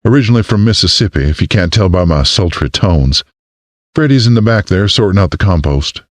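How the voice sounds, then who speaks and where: A man's voice: low-pitched, animated, fairly quick, no room sound, voice pushed up. A male narrator voicing a cheerful young woman florist with a Southern US drawl, bubbly and folksy. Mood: friendly.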